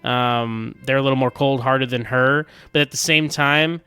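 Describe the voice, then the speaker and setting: A man's voice: mid-range voice, fairly even intonation, moderate pace, bright, forceful tone, smooth, no room sound. A man giving casual, opinionated fan commentary as a podcast listener, chatty and circling back over his phrases.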